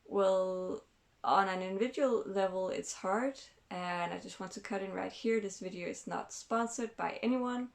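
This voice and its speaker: A woman's voice: medium pitch, moderate pace, vocal fry. A woman from Denmark hosting her own vlog, explaining thoughtfully with many fillers and restarts. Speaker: channel host.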